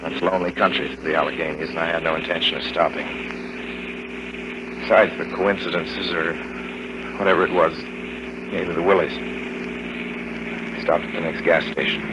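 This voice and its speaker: An actor playing a young motorist in a 1940s radio drama, with a dramatic, measured way of talking. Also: male voice, deep voice, fairly even intonation, heavy background noise, close to an everyday voice. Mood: uneasy, brooding. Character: young male motorist, confident but growing uneasy. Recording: muffled old radio recording.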